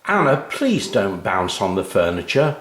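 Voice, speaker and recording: A man's voice: low voice, big pitch swings, unhurried, fairly bright tone, reverberant, exaggerated voice. A man voicing a weary, exasperated mum in a children's story, pleading and scolding. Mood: calling out, annoyed.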